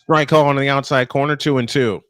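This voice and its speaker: An American man with a mid-range voice works as a baseball announcer. He moves between pitch calls and casual, folksy storytelling, often repeating phrases. The sound is close and dry. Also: steady tone, a little fast, fairly bright tone, dry recording. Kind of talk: play-by-play commentary mixed with casual chat.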